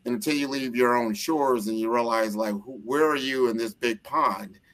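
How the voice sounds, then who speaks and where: A man from the United States with a fairly low voice, speaking conversationally as the host of an interview. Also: moderate pace, fairly bright tone, poor audio. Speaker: show host.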